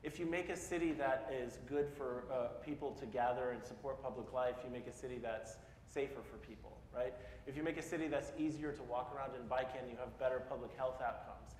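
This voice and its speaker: A man's voice: mid-range voice, fairly quick, hardly any pauses, a little mellow. A man in his forties from Peoria, Illinois, giving a casual, self-deprecating conference talk and asking the audience questions. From Peoria, Illinois (US Midwest). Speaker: speaker at a public talk. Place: large hall in front of an audience. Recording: echoey hall with faint noise.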